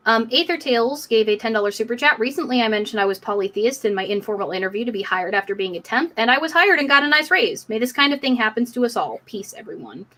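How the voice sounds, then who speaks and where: A woman's voice: a little high, lively intonation, fast talker, hardly any pauses, pushed and bright. A woman hosting a live community discussion stream, speaking in an emphatic, outspoken, casual way. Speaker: livestream host.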